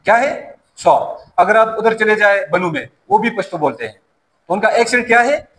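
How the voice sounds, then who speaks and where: A man's voice: high voice, animated, normal speed, fairly bright tone. A man, teaching a safety class in a classroom and answering his own questions as he explains. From Pashto-speaking region of Pakistan. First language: Pashto. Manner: explains by asking questions and answering them himself. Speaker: safety course instructor. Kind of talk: classroom lecture.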